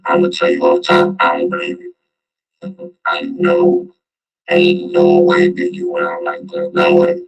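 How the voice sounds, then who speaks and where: A man's voice: a bass voice, wildly swooping pitch, gravelly, muffled recording, over music. A man giving casual, slangy reaction commentary in a loose street manner, as a vlog host. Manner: slangy, drawn-out street talk.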